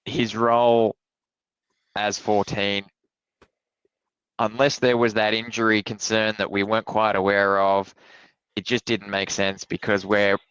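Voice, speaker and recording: A man with an Australian accent and a smooth, low voice lays out his views on the team step by step. His sound is dry and a little dull. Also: level tone, a bit bright, dry recording. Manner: measured, explanatory, with restarts. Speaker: podcast co-host. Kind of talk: casual sports analysis.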